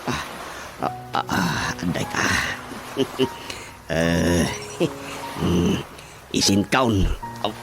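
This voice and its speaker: A man's voice: low-pitched, expressive, drawn-out words, pauses often, heavily acted. A man with an Ilocano accent playing a giggly, lovestruck, simple-hearted farmhand nephew in a radio comedy drama. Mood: dreamy muttering. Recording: clear music behind the voice.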